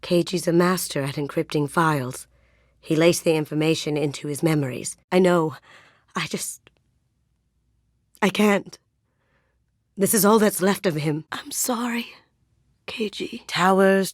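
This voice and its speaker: A woman's voice: fairly low pitch, no room sound, studio quality, close to an everyday voice. A young woman acting as a sly, playful master thief in a sci-fi video game, with a wry, coolly confident way of talking. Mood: grieving, then wry, relaxed.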